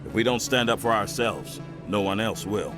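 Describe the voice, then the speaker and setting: An actor playing an eager, polished, stubbornly nationalist politician in a video game, speaking in an oratorical, politely insistent way. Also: male voice, on the low side, moderate pace, close to an everyday voice. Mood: earnest, campaigning. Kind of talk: video game dramatic dialogue. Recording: music behind the voice.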